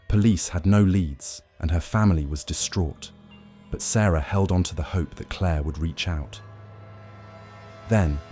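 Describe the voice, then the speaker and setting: A man narrating a scripted ghost story in a slow, ominous storytelling manner. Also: sits low, a little mellow. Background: faint music.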